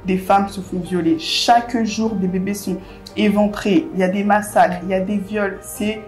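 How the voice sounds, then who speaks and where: Woman interviewer, mid-pitched, impassioned, chatty, faint music behind. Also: fairly even intonation, normal speed, darkish tone. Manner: impassioned, many "en fait" fillers. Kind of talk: casual conversational interview.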